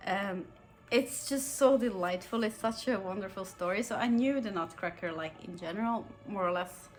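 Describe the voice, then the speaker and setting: A woman's voice: mid-range voice, normal speed. A young woman, a native German speaker, talking casually about books she read, giggling often and using lots of fillers and restarts. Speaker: book reviewer. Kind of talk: casual book review vlog.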